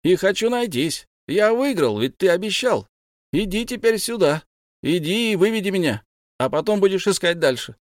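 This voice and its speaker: A man voicing a small hobbit who speaks up insistently, in a raised, somewhat higher voice. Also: expressive, moderate pace, hardly any pauses, no room sound, studio quality. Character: small, anxious but plucky male hobbit.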